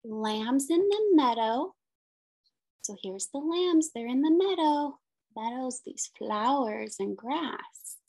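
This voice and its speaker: A woman's voice: high-pitched, animated, slow, darkish tone, rough recording. A woman in her thirties reading a picture book aloud as a teacher, in simple sing-song phrases with questions for young children. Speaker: special education teacher.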